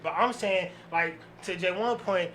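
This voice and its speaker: A man's voice: high-pitched, a little slow, pushed and bright. A man on a panel arguing casually in a slangy, insistent way. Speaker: podcast panelist. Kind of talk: casual debate. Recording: faint background noise.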